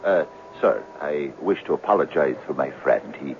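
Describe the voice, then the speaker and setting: An actor playing an earnest, superstitious friend in a 1970s radio drama, arguing insistently that everything is fate. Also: male voice, rumbling low, moderate pace, gravelly, heavily acted. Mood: placating. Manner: insistent, persuasive, animated. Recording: muffled, hissy 1970s radio broadcast recording.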